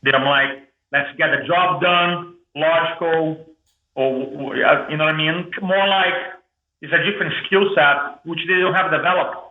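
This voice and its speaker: Over a phone-like line in a roomy space, a man speaks with a thick Brazilian Portuguese accent, his voice pitched a little high. He is an interview guest telling his story with energy and often restarts his words. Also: steady tone, normal speed. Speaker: guest, public speaking coach.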